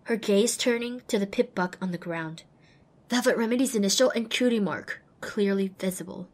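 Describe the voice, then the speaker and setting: Woman voicing little outcast pony girl telling her story, slightly raspy, normal pitch, anxious and expressive, audio drama. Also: normal speed, close to an everyday voice. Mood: tense recollection. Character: small young pony mare, anxious lonely outcast, narrating. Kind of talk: first-person dramatic audiobook narration.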